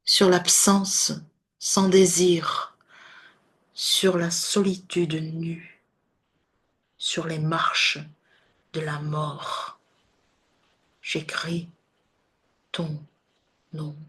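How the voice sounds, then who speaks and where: A woman solemnly reciting poetry in a measured, deliberate way with long pauses, her voice breathy and often falling to a near whisper. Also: low-pitched, level tone, a bit bright.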